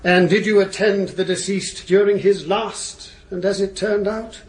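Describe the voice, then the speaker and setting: An actor playing a formal, precise prosecuting barrister who questions witnesses pointedly in a radio courtroom drama. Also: male voice, high-pitched, fairly even intonation, measured pace.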